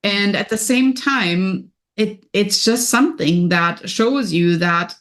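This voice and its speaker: A woman with a German accent, speaking as a coach and podcast guest in an energetic, upbeat way. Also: medium pitch, slow. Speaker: business and wellness coach, guest. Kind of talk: podcast interview.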